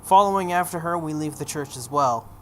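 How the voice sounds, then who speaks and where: Male let's player, slightly higher voice, narrates game text with casual asides, faint noise behind. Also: brisk, sharp tone. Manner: stumbles over written text. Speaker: let's player reading a visual novel aloud. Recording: noticeable background noise.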